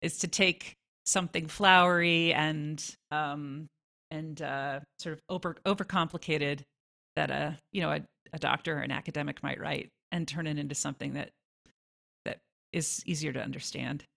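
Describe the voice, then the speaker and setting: Casual, low-pitched woman with a bright edge. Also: steady tone, normal speed, gravelly. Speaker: guest songwriter. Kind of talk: casual interview answers.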